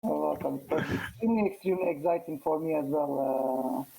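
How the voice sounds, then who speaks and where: A man from Turkey, a Turkish native speaker, chatting casually as a guest after a chess match, halting and full of fillers. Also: a little high, vocal fry, dark tone, low-quality recording. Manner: halting, many fillers and restarts. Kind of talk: casual post-match interview.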